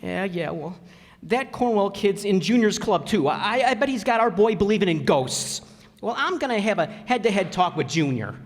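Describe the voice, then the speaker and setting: A man's voice: high-pitched, lively intonation, moderate pace. A man playing a blustering, good-natured working-class father in an old-time radio comedy, folksy and ungrammatical. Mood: blustering, skeptical. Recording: reverberant hall stage recording.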